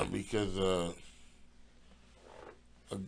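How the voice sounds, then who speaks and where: A middle-aged man, a comedian guest, chatting casually and haltingly, trailing off and joking. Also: sits low, creaky, fairly bright tone. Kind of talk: casual podcast chat.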